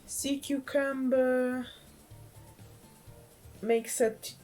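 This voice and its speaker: A woman's voice: high voice, little pitch movement, extremely slow, dark tone, soft background music. A woman from Poland with a Polish accent, chatting casually and easily as she streams a video game. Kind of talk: casual livestream game chat.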